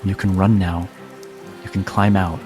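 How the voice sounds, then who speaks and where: A man as game master, narrating a tabletop game dramatically in deliberate, broken phrases. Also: sits low, level tone. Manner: deliberate, short phrases with pauses. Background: music and rain sounds.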